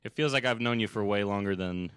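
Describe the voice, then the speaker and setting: A man's voice: fairly low pitch, fast, a bit bright, smooth, no echo, clean studio sound. A young man hosting a podcast interview, chatting casually and rambling with lots of fillers and restarts. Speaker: podcast host.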